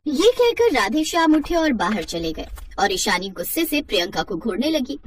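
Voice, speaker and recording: A woman's voice: on the high side, sing-song, moderate pace, breathy edge, no echo, studio quality. A woman narrating a scripted moral story as a storyteller.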